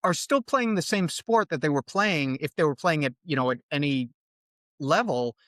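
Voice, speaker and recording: A man's voice: a little high, moderate pace, no room sound, clean studio sound. A man from New York with a New York accent, talking as a podcast guest about coaching, thoughtful and full of restarts. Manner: thoughtful, restarts often, animated. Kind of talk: casual conversational interview.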